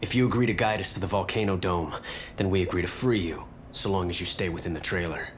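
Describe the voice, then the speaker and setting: A man's voice: deep voice, quick pace, slight background noise, natural voice. An actor playing a determined young male soldier in a video game, curt and questioning. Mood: businesslike, firm. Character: determined young male soldier seeking answers about his father. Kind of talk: English-dubbed video game cutscene acting.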